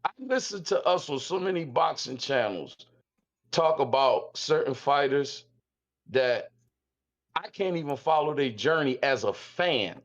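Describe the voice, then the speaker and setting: A man's voice: medium pitch, lively intonation, unhurried. Slangy Philly caller in his fifties. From South Philadelphia. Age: 50s. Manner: blunt, slangy, repeats himself. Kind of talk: call-in chat. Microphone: Discord call. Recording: muffled call audio.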